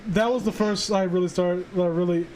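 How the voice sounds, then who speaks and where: High-voiced man, halting and sardonic. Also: fairly even intonation, darkish tone, slight background noise. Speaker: streamer reading stories aloud. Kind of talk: casual livestream chat mixed with reading aloud. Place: voice call livestream.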